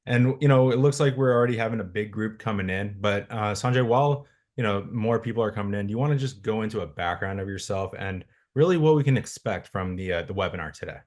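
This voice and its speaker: Low-voiced male webinar host, casual moderator with fillers, on video call. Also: fast, darkish tone. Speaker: webinar host, content marketing director. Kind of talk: hosting a webinar.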